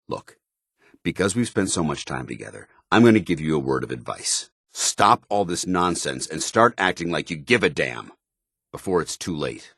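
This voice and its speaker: An actor playing a stern, duty-bound military commander in a video game, speaking formally with clipped authority. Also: male voice, sits low, fairly bright tone, no room sound, studio quality, exaggerated voice. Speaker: commanding officer. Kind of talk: scripted video game dialogue.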